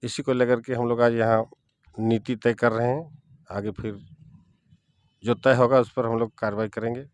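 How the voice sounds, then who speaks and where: A man with a Jharkhand Hindi accent giving an interview statement as a local politician, in halting short phrases. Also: mid-range voice, level tone, clean tone.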